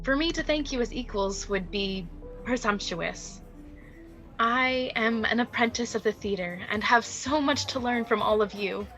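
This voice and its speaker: An actress playing a celebrated young stage star in a radio drama, poised and falsely humble, speaking in measured, formal tones. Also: female voice, a little high, sharp tone, low-quality recording. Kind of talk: theatrical radio drama acting.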